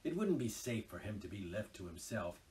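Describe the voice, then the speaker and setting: A man voicing a patient, loyal male water rat who speaks softly and low. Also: sing-song, a little fast, far from the mic, a little hiss or hum, poor audio. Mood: quiet, steady. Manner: soft, low, wistful. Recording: voice a little off-mic.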